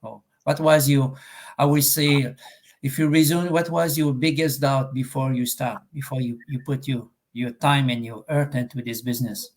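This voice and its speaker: A man from Quebec with a French-Canadian accent, French as his first language, interviewing a guest in a live online chat, pausing often with fillers and restarts. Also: mid-range voice, steady tone. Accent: Québécois French. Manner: hesitant, many fillers and restarts.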